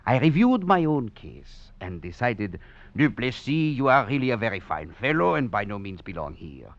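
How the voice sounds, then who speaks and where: An actor playing an escaped French convict, a philosophizing rogue, narrating and talking with theatrical, florid phrasing and wry chuckles in 1940s radio drama style. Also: male voice, lowish voice, big pitch swings, normal speed. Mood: jaunty, cocky. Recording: muffled old radio recording with music.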